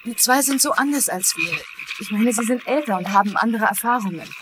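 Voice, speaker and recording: An actress playing an anxious, sensitive young daughter in a dubbed TV mystery, earnest and tentative. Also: female voice, a little high, expressive, a little fast, lightly acted. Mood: troubled. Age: young adult. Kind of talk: dubbed TV mystery drama acting. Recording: clear background noise, outdoor-like ambience.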